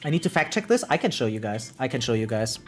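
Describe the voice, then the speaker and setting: A woman's voice: very deep voice, fast talker, faint music behind. A woman game streamer chatting casually and opinionatedly on a live stream, swearing freely and often checking in with her listeners. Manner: animated, opinionated venting with rhetorical check-ins.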